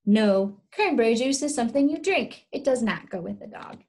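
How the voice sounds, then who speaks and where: A young woman with a high voice leads a lesson for little children. She speaks in short, simple phrases and repeats them often. Her manner is encouraging, like a teacher guiding pupils step by step, picked up by a device mic in a small room. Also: expressive, normal speed, a little mellow. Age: young adult. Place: small room indoors, sitting on the floor.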